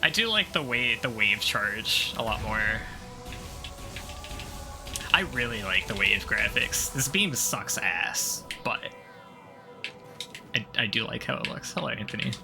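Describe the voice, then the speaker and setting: Gravelly-voiced man streaming a game over music. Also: medium pitch, lively intonation, moderate pace. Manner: rambling, thinking aloud, casually blunt. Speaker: streamer doing a game playthrough. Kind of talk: casual live gameplay commentary.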